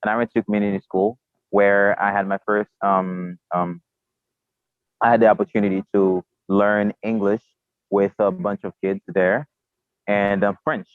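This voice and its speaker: A man from Haiti whose first language is Haitian Creole, speaking English with a Haitian accent as a podcast guest, halting and repeating words as he searches for them. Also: lowish voice, level tone, smooth, dry recording. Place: outdoors, remote. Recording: tinny phone-like line.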